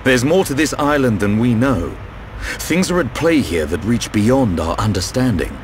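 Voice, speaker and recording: An actor playing a serious, patient male companion in a video game, formal and deliberate in his speech. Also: medium pitch, lively intonation, slight background noise, natural voice. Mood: grave, counselling.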